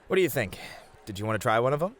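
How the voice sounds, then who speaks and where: Male actor as doting, teasing bunny club host for ASMR roleplay; very animated intonation. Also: mid-range voice, racing, slight background noise, close to an everyday voice. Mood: friendly, attentive. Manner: affectionate, teasing, attentive. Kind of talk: flirty ASMR roleplay addressed to the listener.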